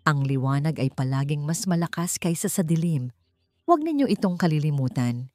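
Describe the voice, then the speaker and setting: A benevolent king from a storybook, as a woman narrator reads him. He gives solemn, measured counsel in a mellow voice, with faint music behind. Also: sits low, lively intonation, dry recording. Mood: solemn, kindly. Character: gentle, wise, benevolent male king.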